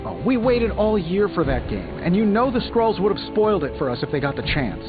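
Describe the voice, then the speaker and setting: Cocky american superhero inventor for a video game, swoopy sarcastic delivery, music playing loud behind him. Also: male voice, high voice, big pitch swings, fairly quick, phone-quality sound. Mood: exasperated. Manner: glib, sarcastic quipping. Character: cocky, wisecracking male tech genius superhero inventor. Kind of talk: scripted video game voice acting.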